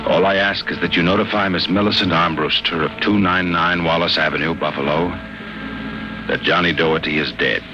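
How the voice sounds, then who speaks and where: A man playing a deep-voiced wisecracking private eye. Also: steady tone, brisk, heavy background noise, natural voice. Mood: grim. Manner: wisecracking tough-guy banter. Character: hard-boiled male private detective, wry and cocky. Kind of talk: 1950s radio drama acting and narration. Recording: old radio broadcast with loud music.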